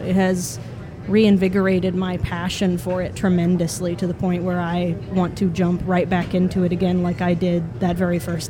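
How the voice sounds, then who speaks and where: A woman's voice: mid-range voice, noisy background. Earnest woman speaking from the heart. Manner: earnest, heartfelt, with hesitant fillers. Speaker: podcast guest. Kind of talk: sincere personal reflection. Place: bar with a live audience.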